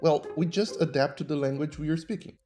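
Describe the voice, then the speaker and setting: A man with a Brazilian accent, a native Portuguese speaker, hosting a podcast and explaining legal ideas in measured, teacherly narration. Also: on the high side, music in the background. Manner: measured, didactic. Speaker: podcast host and human rights lawyer.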